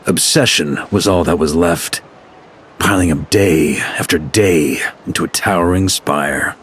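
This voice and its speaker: A gruff knight commander. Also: male voice, sits low, a bit bright, a little hiss or hum. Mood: solemn. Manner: measured, commanding, dryly teasing. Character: seasoned male knight commander, stern but wry. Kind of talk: video game dialogue. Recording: game audio with music underneath.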